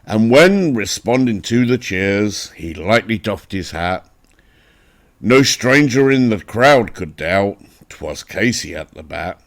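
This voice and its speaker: A man with an English accent giving a dramatic, theatrical recitation of a narrative poem, with deliberate, emphatic phrasing. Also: fairly low pitch. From England. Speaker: poetry reader.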